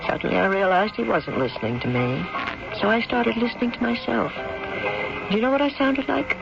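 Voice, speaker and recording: A woman's voice: on the high side, gravelly. Bitter middle-aged woman, lo-fi radio mystery. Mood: haunted, tense. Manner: dramatic, halting confessional monologue. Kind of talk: 1970s radio mystery acting. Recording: dull lo-fi radio broadcast, music.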